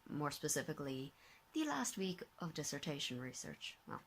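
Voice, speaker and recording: A tired, slightly hoarse young woman talking casually to her phone camera at home, rambling, correcting herself and sometimes dropping to a whisper. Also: deep voice, big pitch swings, normal speed, fairly bright tone, a little hiss or hum. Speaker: vlogger, master's student. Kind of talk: casual vlog talk to camera. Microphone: handheld phone at arm's length.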